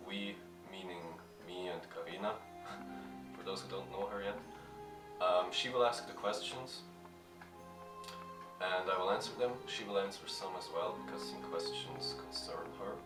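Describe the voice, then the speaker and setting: A shy man answering fan questions in a halting, casual way, with many fillers and restarts. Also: low voice, steady tone. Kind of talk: hesitant casual talk to camera. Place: at home. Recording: echoey room, mic at a distance, music playing. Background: music.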